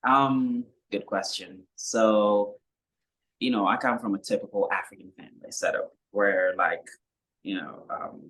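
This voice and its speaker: A young man from Kenya, a musician guest, talking in a relaxed, rambling way with many fillers. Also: on the low side, unhurried, low-quality recording. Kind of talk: casual interview chat.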